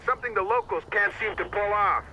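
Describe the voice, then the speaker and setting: An actor playing a brash, cynical middle-aged American CIA agent in a video game, with glib, sardonic, folksy patter. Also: male voice, high-pitched, brisk, muffled recording. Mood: sardonic, bossy. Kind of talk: video game cutscene acting. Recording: loud music or noise behind.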